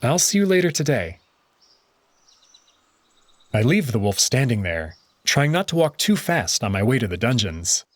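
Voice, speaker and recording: Guy narrating a story in first person, husky voice, lots of pitch movement, dry sound. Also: very animated, moderate pace, long pauses, no echo. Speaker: audio drama narrator.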